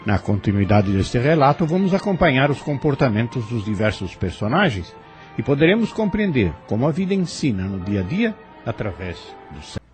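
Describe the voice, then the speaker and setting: A man reads reflective, instructive commentary in a Brazilian accent. His mid-pitched voice rises and falls widely. Faint music sits behind him. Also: big pitch swings, brisk. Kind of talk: scripted spiritual commentary. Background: soft music.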